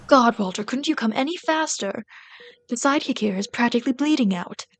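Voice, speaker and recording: A woman's voice: on the high side, lively intonation, a little fast. A woman playing a bitter but caring villain queen in an ASMR roleplay, scolding and sardonic, often exasperated. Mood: impatient, commanding. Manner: scolding, sardonic, exasperated, dropping to hushed asides. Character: bitter, caring female villain rat queen tending a captive sidekick. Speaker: voice actor in an audio roleplay.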